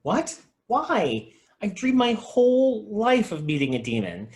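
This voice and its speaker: A man playing an excitable, idealistic and naive young video store clerk, earnest and dramatic, in a comedy table read. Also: high-pitched, a little slow, full character voice. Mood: wounded, pleading.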